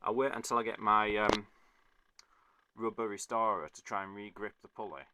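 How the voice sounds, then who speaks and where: A man's voice: fairly low pitch, level tone, normal speed, noisy background. A man talking casually to a phone as a hobbyist explaining a repair, rambling and often correcting himself apologetically. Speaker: hobbyist video maker. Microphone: phone recording.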